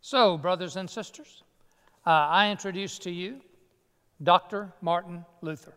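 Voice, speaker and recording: A man pastor preaching a sermon in a measured, emphatic way, in a church sanctuary. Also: sits high, a bit bright, clean tone, long reverb tail. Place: church sanctuary near Atlanta.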